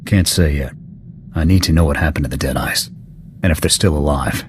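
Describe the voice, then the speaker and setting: An actor playing a gruff, terse soldier in a video game, speaking in short, blunt military sentences. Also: male voice, a bass voice, fairly quick, a little hiss or hum. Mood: determined. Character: gruff, terse male soldier, loyal ex-sniper squadmate. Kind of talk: scripted video game cutscene acting.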